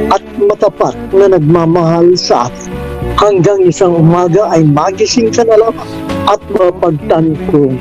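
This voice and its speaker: A man with a Filipino accent giving a sentimental scripted introduction to a radio drama, in dull lo-fi sound. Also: high-pitched.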